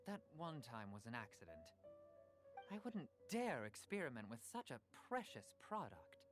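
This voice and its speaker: A smooth-talking merchant. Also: male voice, medium pitch, huge pitch swings, moderate pace. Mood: genial. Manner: persuasive, salesman patter. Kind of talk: game cutscene voice acting. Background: game music.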